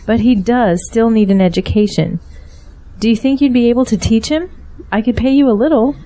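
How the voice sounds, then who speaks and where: A woman's voice: a little high, brisk, warm tone, close to an everyday voice, low-quality recording. An actress playing a grateful, earnest middle-aged mother in an audio drama. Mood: earnest, hopeful.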